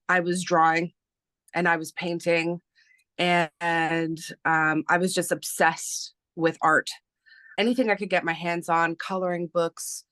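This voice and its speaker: Slow, soft-spoken woman in her thirties. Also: lowish voice, no room sound. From Canada. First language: Spanish. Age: 30s. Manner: slow, hesitant, many fillers. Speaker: guest, artist and publisher. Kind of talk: casual interview talk.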